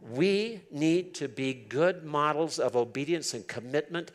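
A man's voice: on the high side, lively intonation, unhurried. A man in his seventies giving a Bible teaching, reading verses aloud and explaining them earnestly. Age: 70s. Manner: earnest, switches between reading aloud and commentary. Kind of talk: sermon, reading and explaining scripture.

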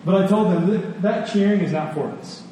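Guy preaching, kinda higher voice, chatty storytelling w emphatic repeats, super echoey room w faint noise. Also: warm tone, far from the mic. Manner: conversational, with emphatic repetition and pauses. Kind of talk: sermon with personal stories. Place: large reverberant room with an audience.

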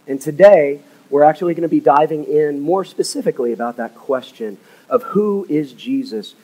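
Hoarse assistant pastor preaching. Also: male voice, a little high, normal speed, low-quality recording. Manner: earnest, drops to a hushed, soft voice in prayer. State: slightly hoarse from a cold. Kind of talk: sermon and prayer. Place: church sanctuary.

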